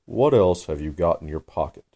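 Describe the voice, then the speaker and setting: A pompous storybook dodo acted out by a man holds forth gravely. The low voice rolls and swells ponderously over long words, mellow and easily wounded in its dignity. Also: expressive, normal speed. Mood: grave, ceremonious. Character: pompous, solemn dodo bird, easily offended. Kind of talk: storybook character voice.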